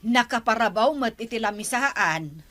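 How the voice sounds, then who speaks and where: Casting: grumbling auntie, comic radio drama, highish and sharp-toned. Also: female voice, expressive, normal speed, soft background music.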